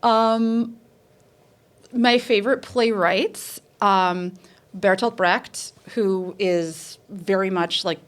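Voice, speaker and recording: A woman theater professor with a lower voice, telling stories in a casual interview, chatty and full of fillers and restarts. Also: drawn-out words. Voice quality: says she has a lower voice.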